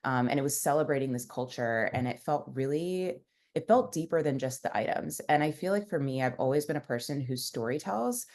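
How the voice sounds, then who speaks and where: A woman's voice: a bass voice, animated, brisk, a little mellow. A young woman, a founder, telling her story in a casual interview, rambling with many fillers. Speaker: founder and guest.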